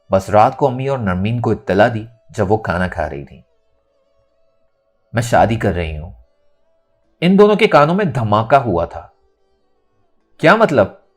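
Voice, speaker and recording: Expressive male storyteller with lively pitch over music. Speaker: audiobook narrator. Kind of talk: dramatic scripted novel narration.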